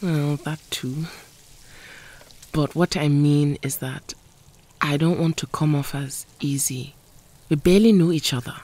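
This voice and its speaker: A woman's voice: low voice, measured pace, pauses often, a little mellow. A woman playing a proud, guarded mutant heroine in an audio drama, with music under her voice. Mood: flirtatious, coy.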